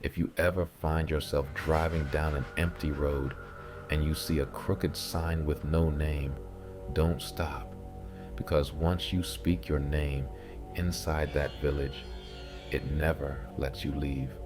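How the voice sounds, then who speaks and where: Very deep, slow male horror narrator. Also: flat delivery. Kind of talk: scripted horror narration, first person. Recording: music behind the voice. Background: music bed.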